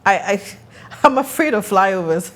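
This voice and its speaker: A woman from Ghana whose first language is Italian, a guest on a casual podcast, talking in an animated way, laughing often and restarting her phrases. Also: medium pitch, unhurried.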